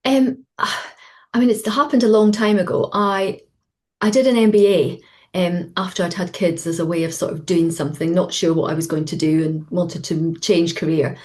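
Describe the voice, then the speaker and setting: A woman, an executive coach, talking on a webinar in an animated, storytelling way. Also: fairly low pitch, moderate pace. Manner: animated, lively storytelling with acted quotes. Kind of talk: conversational webinar interview.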